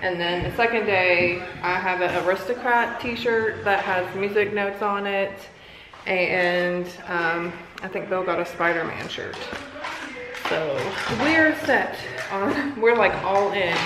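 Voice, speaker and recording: An American woman in her thirties talks in a slow, casual way, as a mom does on a family vlog. Her pitch sits in the middle for a woman. There is clear noise around her voice, picked up by a camera close by. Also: echoey room. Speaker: mother vlogging. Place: at home and in a parked or moving car. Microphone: camera at about arm's length.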